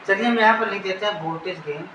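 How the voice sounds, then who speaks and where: A high-voiced Indian man teaches in an informal lecture style. He explains step by step and often rephrases his points. The recording is echoey, with faint noise behind him. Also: fast talker. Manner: didactic, repeats and rephrases phrases.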